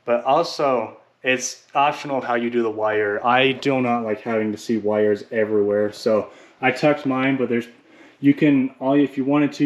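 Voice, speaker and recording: A man talking casually and informally through a hands-on how-to, rambling a little as he explains. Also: medium pitch. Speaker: hobbyist presenter of a how-to video. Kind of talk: casual hands-on tutorial talk.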